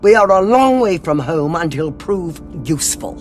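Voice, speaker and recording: An actress playing a brisk, haughty military cardinal in a science fiction audio drama. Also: female voice, on the low side, expressive, darkish tone, faint music behind, close to an everyday voice. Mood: businesslike, resolute. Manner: commanding, clipped, haughty. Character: brisk, imperious female alien cardinal, military commander.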